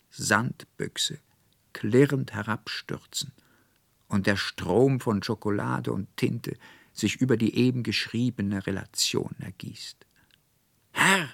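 A man narrating a literary tale as an audiobook reader, in an expressive storytelling style. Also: lowish voice, gravelly, no echo, studio quality.

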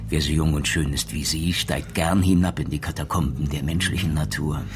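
Radio drama role: retired detective, low male voice, courteous, knowing, noise behind. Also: steady tone. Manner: measured, courteous, gently ironic. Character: East German ex-police lieutenant, calm, courteous, superior. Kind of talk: German radio drama acting.

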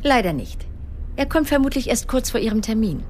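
A woman's voice: on the high side, big pitch swings, brisk, a little mellow, a little hiss or hum. A woman acting a courteous, formal young receptionist in a naturalistic radio drama. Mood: apologetic, polite. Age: young adult. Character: Maha, young female receptionist, courteous and unhelpful.

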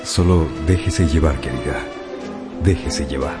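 An actor playing a suave, seductive aristocratic viscount in a radio drama, with courtly manners. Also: male voice, rumbling low, unhurried, music in the background.

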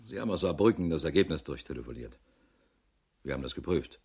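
Police detective in a radio play, really low husky voice, businesslike, just reporting facts. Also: male voice, expressive, fast talker, darkish tone, heavily acted. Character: businesslike male police investigator reporting findings. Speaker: actor in a radio crime drama. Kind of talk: German radio drama acting.

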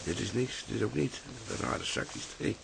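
A man's voice: lowish voice, sing-song, moderate pace, vocal fry, fairly bright tone, husky, heavily acted. An actor playing an older, opinionated working-class father in a comic radio drama, blustering and muttering to himself. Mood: musing, pleased.

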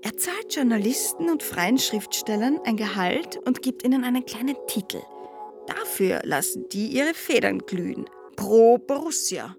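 A woman's voice: fairly high pitch, big pitch swings, measured pace, music in the background. An actress playing an eloquent, ironic woman lecturer in a radio play, pointed and full of rhetorical turns. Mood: wry mockery. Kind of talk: radio play monologue, essayistic and satirical.

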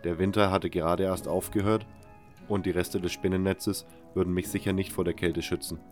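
Fantasy audio drama lead: man playing wary nameless convict narrator, deep register, brooding and measured. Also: flat delivery, faint music behind, lightly acted. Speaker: first-person narrator.

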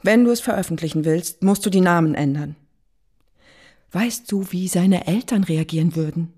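A woman's voice: lowish voice, normal speed, a little mellow, natural voice. Direct, insistent woman played by an actress. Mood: admonishing. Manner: direct, urgent. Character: shocked, insistent woman confronting a male confidant. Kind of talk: German radio drama acting.

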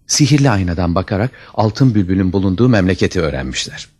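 A man's voice: sits low, animated, fast talker, a little mellow. A man narrating a scripted fairy tale as a storyteller, with dramatic expression.

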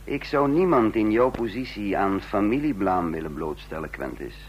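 A man's voice: fairly low pitch, expressive, lightly acted. Commanding, dramatic intelligence chief played by a man. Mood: cold, commanding. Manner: menacing, deliberate, formal. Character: cold calculating intelligence chief, narrating his own downfall. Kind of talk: radio drama acting and first-person narration. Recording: vintage radio play with faint hum.

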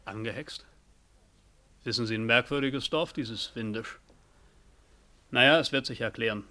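A man's voice: medium pitch, a little slow, sharp tone. An actor playing a polite, hesitant male official new to a village in a 1950s German radio play, formal and understated. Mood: polite, musing. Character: hesitant, polite official newcomer delivering a document.

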